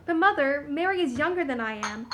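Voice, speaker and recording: A young woman playing an earnest, polite, quietly challenging daughter of twenty-one in a radio play. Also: sits high, expressive, fairly quick. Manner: politely questioning. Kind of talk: scripted radio play acting. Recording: clear background noise, clicks.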